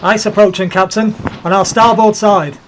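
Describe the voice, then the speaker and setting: Guy playing ship lookout in a radio drama, high voice calling out, noisy behind. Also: fairly even intonation, normal speed, natural voice. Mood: urgent. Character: alert crewman calling out on an Arctic ship. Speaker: actor in a radio play.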